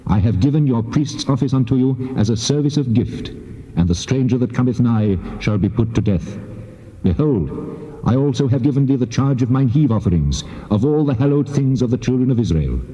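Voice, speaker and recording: An actor playing the God of Israel in dramatised scripture, solemn, measured and commanding, with heavy reverb on his voice. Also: medium pitch, expressive, dark tone. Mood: solemn, decreeing. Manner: solemn, measured, authoritative declaiming. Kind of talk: dramatised scripture reading in King James English. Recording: muffled tape, long cavernous reverb.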